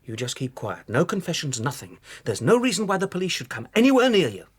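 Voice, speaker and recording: A man's voice: fairly high pitch, huge pitch swings, fast, husky, full character voice. A man acting in a radio drama as a desperate, self-serving lawyer, pleading and insistent with a sarcastic edge. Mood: angry, pleading.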